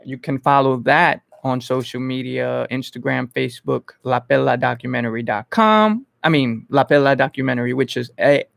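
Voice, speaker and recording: A man from the Bronx, hosting a casual livestream and thinking aloud in an informal, halting way. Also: medium pitch, creaky, no room sound. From Bronx, New York. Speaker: host of an online entertainment interview channel. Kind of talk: casual livestream chat answering viewers.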